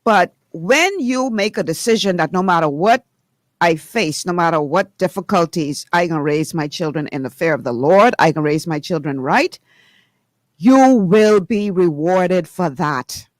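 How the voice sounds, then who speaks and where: A radio show host with a Bahamian accent, chatting casually and excitedly before turning to earnest, preacher-like encouragement. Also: unhurried, dry recording. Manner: animated, laughing, swings into high excited tones.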